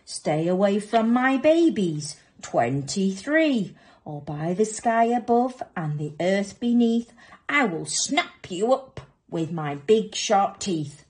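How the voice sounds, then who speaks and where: A woman's voice: fairly low pitch, expressive, slow pace, mellow. A woman voicing a fierce, proud, protective mother crocodile in a children's storybook, with commanding, declamatory delivery. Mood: stern warning.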